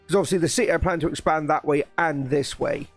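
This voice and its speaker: A man chatting casually on a live stream, his voice a little croaky, rambling with restarts and sounding excited about his plans. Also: fairly high pitch, fast, soft background music. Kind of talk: casual live-stream chat while building.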